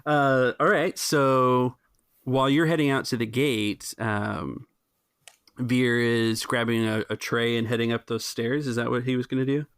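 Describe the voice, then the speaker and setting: A man acting as game master, narrating a tabletop game in a conversational, halting way. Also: medium pitch, steady tone, a bit bright, smooth, no room sound, studio quality.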